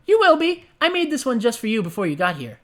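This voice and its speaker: A woman doing a squeaky, giddy cartoon pony girl. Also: sing-song, fast talker, fairly bright tone. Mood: bubbly. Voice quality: squeaky and bubbly. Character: giddy hyper female cartoon pony, menacing sweetness.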